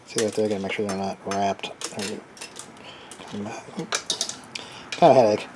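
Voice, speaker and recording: A man giving a casual, unscripted how-to as a hobbyist builder, halting and thinking aloud while he works with his hands. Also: fairly low pitch, flat delivery, noisy background.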